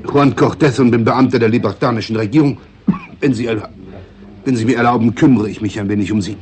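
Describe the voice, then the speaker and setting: A man's voice: medium pitch, steady tone, quick pace, a little mellow, lightly acted. A man playing a pompous, anxiously polite government official with formal, hesitant phrasing, in a 1960s radio drama. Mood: placating.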